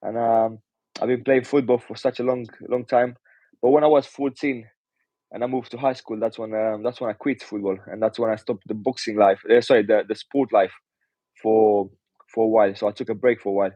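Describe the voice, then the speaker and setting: Romanian-born man, mellow muffled voice. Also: on the low side, fairly even intonation, fairly quick, no echo. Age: 20s. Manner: hesitant, lots of "uh" and "do you know". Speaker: pro boxer guest. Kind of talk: casual interview answers. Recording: dull muffled audio.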